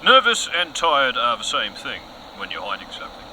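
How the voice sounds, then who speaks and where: A man acts out a theatrical TV news anchor in an audio satire. His voice is sharp and forceful and swoops widely in pitch. He is gullible, insinuating and deeply earnest in his suspicion. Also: very animated, normal speed, slight background noise, low-quality recording. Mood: intrigued, suspicious. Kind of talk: satirical broadcast news audio comedy acting.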